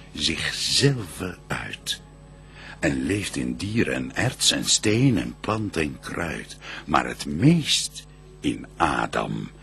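An actor playing a solemn, reverent archangel, declaiming verse in a stately, measured manner in a radio play. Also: male voice, low-pitched, full character voice. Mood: reverent, marvelling.

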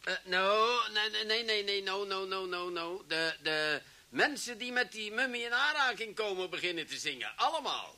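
Casting: impatient Dutch police boss, radio play, high voice, very sharp and forceful. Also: male voice, lively intonation, exaggerated voice. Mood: flustered, exasperated. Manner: blustering, halting, exasperated. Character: gruff, impatient, blustering male Dutch police chief.

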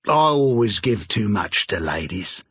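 A man voicing a sly old pawnbroker with a low, drawling, wheedling manner. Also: very animated, a little slow, fairly bright tone, husky, dry recording, full character voice.

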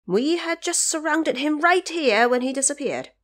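A woman's voice: high-pitched, lively intonation, normal speed, a bit bright, pitched above the performer's own voice. A woman voicing a fierce, indignant male warrior deity in a declamatory audio drama manner. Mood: explaining.

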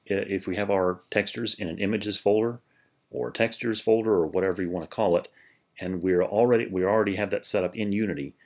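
Low-voiced man explaining a software tutorial. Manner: relaxed, explanatory, with frequent restarts. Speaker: 3D tutorial creator. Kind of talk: screen-recorded software tutorial narration.

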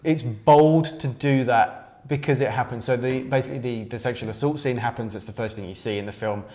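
A man's voice: mid-range voice, fairly quick, a little mellow. Rambling man discussing a film. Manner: rambling, restarts often, gestures while talking. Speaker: co-host. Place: small studio with poster wall.

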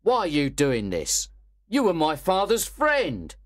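A man's voice: on the high side, big pitch swings, moderate pace, breathy edge, no room sound, studio quality, voice pushed up. A man reading an audiobook who voices a scheming young man, angry and defensive. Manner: defensive, pleading.

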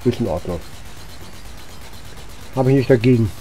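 A man's voice: medium pitch, normal speed, mellow, rough recording. A man doing casual gameplay commentary, muttering short remarks in a voice weakened by coughing. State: weak voice from coughing. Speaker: gaming video host. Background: game music.

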